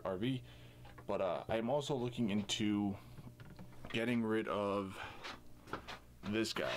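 A man's voice: fairly low pitch, slight background noise. A man in his thirties casually showing viewers around an RV, talking loosely with lots of fillers, into a handheld camera mic. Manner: loose, rambling with many fillers. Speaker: vlogger. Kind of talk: casual walkthrough vlog. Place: inside an RV, then outdoors on its roof.

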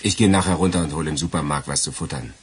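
Casting: loyal gangster accomplice for radio crime drama; deep husky voice, suave, musing, weighty emphasis. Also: male voice, fast, full character voice. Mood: brisk, reassuring.

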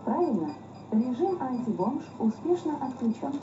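A woman playing a polite, stubborn smart-door intercom system, giving formal, measured automated prompts. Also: high-pitched, very animated, dark tone. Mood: instructing. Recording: dull lo-fi echoey audio with music.

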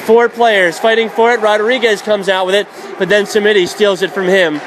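A man doing radio play-by-play of a college hockey game, calling plays quickly and shouting at the big moments. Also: high-pitched, little pitch movement. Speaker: play-by-play announcer. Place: college ice arena. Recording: noisy background.

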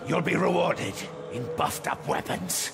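A man's voice: on the high side, expressive, a little slow, vocal fry, exaggerated voice. An actor playing a grandiose male cult preacher who leads a fire-worshipping flock, in a video game cutscene. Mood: preaching. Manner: grandiose, preaching, sweeping swings between loud and hushed. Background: loud score music.

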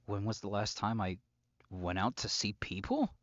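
A man playing an obsessive, unstable captor and lover in an intimate audio roleplay, halting and brooding, swinging between pleading and threat. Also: lowish voice, expressive, a bit bright, dry recording, muffled recording. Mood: bitter, possessive. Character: obsessive, unstable male yandere captor, menacingly tender lover.

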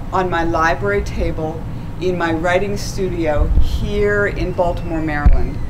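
A woman in her sixties reads her own personal essay from the page on a small stage. Her voice sits a little low, and she lingers over each phrase. Steady noise from the live room runs under the recording. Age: 60s. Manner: slow, deliberate, measured delivery. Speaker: author reading her essay. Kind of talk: literary reading. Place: small stage in a dark club.

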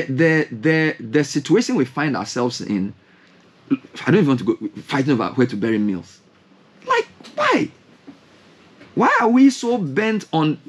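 A man's voice: a little high, slightly rough. A young man with a Ghanaian English accent, a radio host in a studio, giving impassioned commentary full of rhetorical questions. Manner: animated, rhetorical questions, gesturing hands. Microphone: studio mic with headphones.

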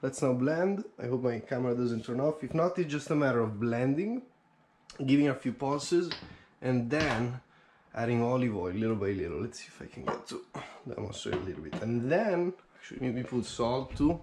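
Young man, upbeat kitchen chatter, head-mounted camera, home kitchen, clear background noise. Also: medium pitch, expressive, normal speed, a little mellow. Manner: chatty, upbeat, thinking aloud with fillers. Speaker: home cook vlogger. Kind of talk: casual cooking commentary. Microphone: head-mounted action camera.

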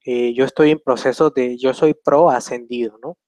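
A man's voice: mid-range voice, steady tone, moderate pace, a little mellow, no room sound, rough recording. Hesitant young man on a video call. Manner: rambling, hesitant, many fillers and tag questions. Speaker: host answering questions. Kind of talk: casual live video Q&A. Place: small room indoors. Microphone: webcam on a video call.

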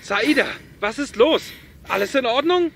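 A man's voice: extremely high pitch, lively intonation, normal speed, gravelly, exaggerated voice. A man playing a concerned young companion, loyal and wry, in a German audio drama. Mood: worried.

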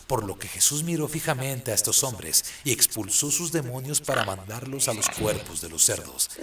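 A man's voice: medium pitch, a bit bright, slight background noise. A man delivering scripted dramatic narration as the narrator of a radio drama.

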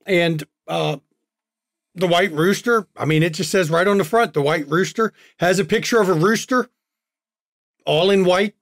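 Halting man narrating a tabletop game. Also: sits high, fairly bright tone, no room sound, clean studio sound. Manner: halting, many uhs and restarts. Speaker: game master.

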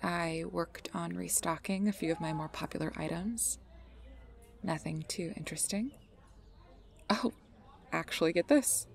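A woman's voice: lowish voice, a little slow, creaky, long pauses. A woman playing an obsessive, sweet-talking fey lover in an ASMR roleplay, speaking intimately and often breathily. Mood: chatty, easy. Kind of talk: ASMR roleplay, close personal attention.

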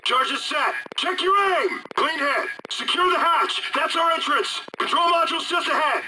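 An actor playing an urgent military squad leader in a shooter game, giving clipped, commanding orders over a radio. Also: male voice, very high-pitched, normal speed. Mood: focused. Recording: loud noisy radio transmission.

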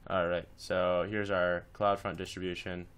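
A man's voice: low-pitched, flat delivery, moderate pace, a bit bright, smooth. A man presenting a casual screencast tutorial, talking informally through each step as he instructs.

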